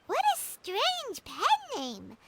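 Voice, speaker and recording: Squeaky, very high-pitched little girl companion. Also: huge pitch swings, drawn-out words, very noisy, full character voice. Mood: sassy. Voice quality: squeaky, childlike. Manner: speaks of herself in third person, exclamatory. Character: tiny excitable childlike floating girl companion, talks of herself by name. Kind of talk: anime game voice acting.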